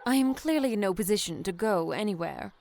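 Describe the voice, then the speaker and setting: A woman's voice: mid-range voice, animated, fairly quick, creaky, darkish tone. A woman playing a proud, witty, defiant accused woman of the seventeenth century in an audio drama, poised and formal. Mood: defiant, composed. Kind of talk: period audio drama acting.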